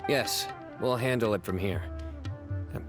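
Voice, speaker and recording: Casting: male actor as earnest, reserved game lawman, low register, clipped formal delivery, music behind. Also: unhurried, close to an everyday voice. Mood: grateful, solemn. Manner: terse, serious, formal. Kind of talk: video game voice acting. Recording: music under the voice.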